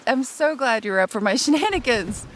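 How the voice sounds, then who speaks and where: A woman's voice: a little high, lively intonation, moderate pace, slight background noise, close to an everyday voice. An actress playing a playful, flirty, adventurous woman on a date in a romcom audio drama, giggly and teasing. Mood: teasing, amused.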